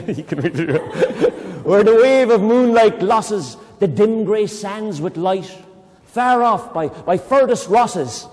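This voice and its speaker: An Irish man reading a poem aloud to children, reciting slowly and dramatically and breaking off into playful, chatty explanations. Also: high voice, darkish tone. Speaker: poetry reader leading children. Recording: long reverb in a hall.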